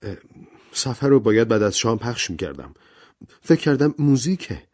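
A man's voice: medium pitch, big pitch swings, darkish tone, pitched above the performer's own voice. A man voicing an anxious, deferential manservant who speaks in a pleading, servile way in an audio story. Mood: apologetic.

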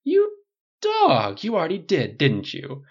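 A man's voice: on the high side, sing-song, voice pushed up. A man voicing a campy, flirtatious male hairdresser with a put-on German accent, teasing and sing-song. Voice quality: camp, sing-song, drawn-out. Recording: dull lo-fi recording.

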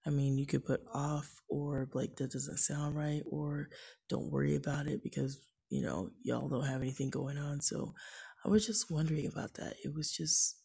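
Woman, livestream host; naturally raspy, breathy, soft-spoken musing. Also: sits low, steady tone, normal speed. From California. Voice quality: raspy, husky. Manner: soft, half-whispered musing, frequent ums. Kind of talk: casual live-stream chat.

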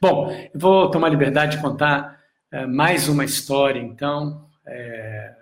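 A man, a breathing and meditation instructor, giving a reflective live talk with many pauses and restarts while answering viewer questions. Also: mid-range voice. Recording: echoey room.

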